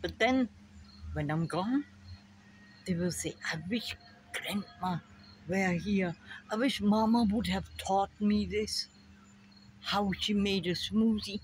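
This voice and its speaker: An older woman with a German accent, musing slowly to a phone camera in her backyard about memory and family. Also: mid-range voice, slight background noise. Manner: slow, musing, drifting between thoughts. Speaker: vlogger. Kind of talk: rambling personal monologue to camera. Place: backyard outdoors by a fence. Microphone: phone camera at arm's length. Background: crickets and insects.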